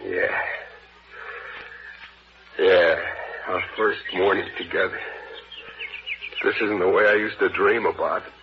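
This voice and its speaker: An actor playing a guilt-ridden young newlywed husband on the run, with halting American speech, in a 1940s radio thriller. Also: male voice, deep voice, steady tone. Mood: weary, subdued. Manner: halting, repeating himself in distress. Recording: muffled, noisy old radio drama recording.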